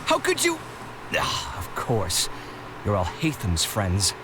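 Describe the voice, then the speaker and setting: Animated, exasperated young housemate, game dialogue. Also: male voice, lowish voice, slow pace, background noise, exaggerated voice. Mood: indignant. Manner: expressive, indignant, bantering. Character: exasperated, sociable young man, dramatic and snippy housemate. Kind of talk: English video game voice acting.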